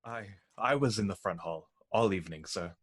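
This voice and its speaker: A man's voice: deep voice, lively intonation, normal speed, slightly rough, no room sound. A man playing a deferential, long-serving butler in an amateur radio-style mystery.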